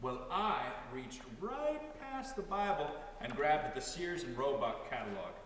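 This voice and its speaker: A man playing an elderly, devout woodcarver who tells stories in an unhurried, folksy way in a storybook reading. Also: fairly high pitch, sing-song, fairly bright tone. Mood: folksy storytelling. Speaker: reader in a dramatic story reading. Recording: very echoey hall, distant, faint noise.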